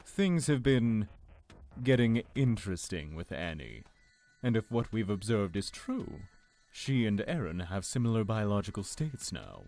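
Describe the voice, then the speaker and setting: A man playing a commanding, calculating lord in an audio drama, with a measured, formal and authoritative manner. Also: on the low side, very animated, darkish tone. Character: commanding male lord, calm, calculating leader. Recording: music under the voice.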